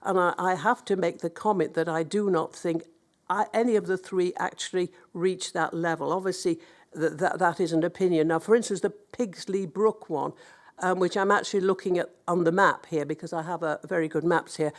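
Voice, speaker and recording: A woman's voice: on the low side, steady tone, brisk. A woman councillor with a blocked-up nose from a cold, making an earnest, hesitant statement at a public hearing. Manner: earnest, hesitant, with many ums and restarts.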